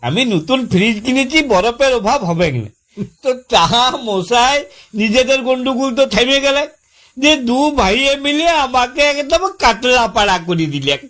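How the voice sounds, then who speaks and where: A man's voice: very high-pitched, animated, a little slow, a bit bright, heavily acted. A man playing an aggrieved village husband in a comic phone-call skit, telling long-winded, folksy complaints in a rural West Bengal accent. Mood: exasperated, rambling. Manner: folksy, long-winded storytelling with exasperated repetition. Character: aggrieved village husband complaining about his new fridge.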